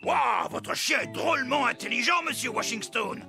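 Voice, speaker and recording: A man voicing an excitable, scatterbrained, gushing boss in a cartoon dub. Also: extremely high pitch, normal speed, vocal fry, music in the background, exaggerated voice. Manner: gushing, effusive. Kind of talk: exaggerated cartoon dubbing.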